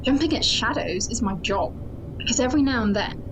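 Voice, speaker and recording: A woman's voice: a little high, expressive, fairly quick, noisy background, lightly acted. A woman playing a wary, intense chief of security on a space station in an audio drama, formal and insistent. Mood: urgent, wary. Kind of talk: science-fiction audio drama acting.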